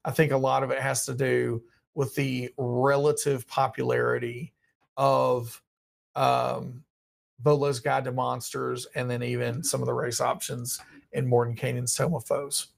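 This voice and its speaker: Gravelly-voiced man, losing his voice. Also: medium pitch, little pitch movement, a little slow. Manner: reads out questions then answers offhand, rambling. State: partly lost voice. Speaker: livestream host answering viewer questions. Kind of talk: casual live Q&A. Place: small dim room indoors.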